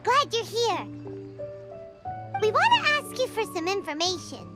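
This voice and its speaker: A woman's voice: extremely high pitch, big pitch swings, measured pace, music in the background, full character voice. A woman voicing a small, naive and eager childlike fairy companion in a video game, exclaiming as she talks. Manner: exclamatory, speaks of self in third person. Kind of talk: video game character acting.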